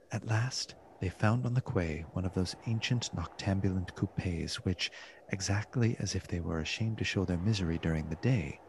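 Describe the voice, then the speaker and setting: A man's voice: deep voice, slight background noise. A man narrating a literary radio play in a formal, theatrical storytelling manner.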